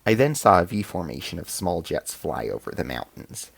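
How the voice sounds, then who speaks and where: A man playing a grave alien rebel squad chief, narrating his war story in a formal, measured way for an audio drama serial. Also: sits low, big pitch swings, gravelly, husky, dry recording, heavily acted. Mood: focused. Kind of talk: first-person scripted sci-fi narration.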